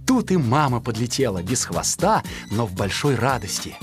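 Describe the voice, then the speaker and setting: A storyteller giving a theatrical, expressive scripted narration of a children's animal tale. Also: medium pitch, normal speed, over music.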